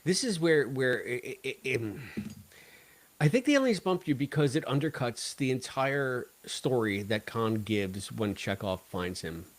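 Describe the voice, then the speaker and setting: A man in a relaxed podcast conversation as co-host. He speaks at a middle pitch with animated emphasis, drifting into tangents as he makes his case. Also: unhurried. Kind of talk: casual fan discussion.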